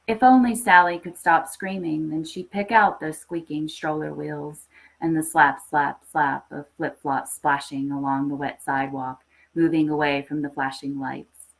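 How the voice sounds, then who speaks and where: Lower-pitched woman reading and hosting. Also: steady tone, a little slow, creaky, rough recording. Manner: enthusiastic, chatty. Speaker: podcast host and story reader. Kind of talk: dramatic story reading, then casual live hosting.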